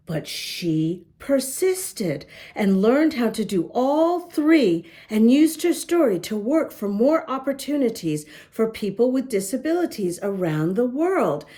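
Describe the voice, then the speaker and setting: A woman reading a picture book aloud to young children, gently pausing to talk to them and show the pages. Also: fairly high pitch, very animated. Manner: gentle, slow, talks to young listeners. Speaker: children's storybook reader. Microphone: camera close up, showing the book.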